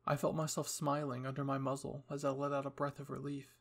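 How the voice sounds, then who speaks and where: A man's voice: mid-range voice, monotone, a little fast, creaky. A young man reading scripted material as the narrator and host of a story channel.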